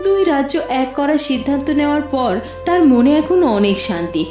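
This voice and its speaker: A woman's voice: high voice, expressive, normal speed. A woman narrating a scripted cartoon story in a dramatic storytelling style. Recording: roomy echo with music behind.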